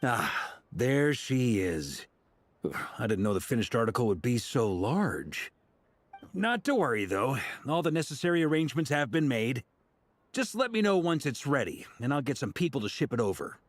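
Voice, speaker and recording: An actor playing an easygoing, hearty, upbeat adventurer in a video game, breezy and reassuring. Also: male voice, medium pitch, sing-song, moderate pace, no room sound. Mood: relaxed. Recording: music behind the voice.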